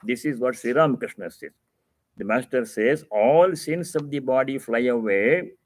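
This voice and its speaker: Man, mid-pitched voice, religious teacher, didactic, emphatic repetition, scripture reading with commentary, dry sound. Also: normal speed, dry recording. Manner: didactic, emphatic, repeats key phrases for stress. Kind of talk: religious discourse, reading aloud and explaining.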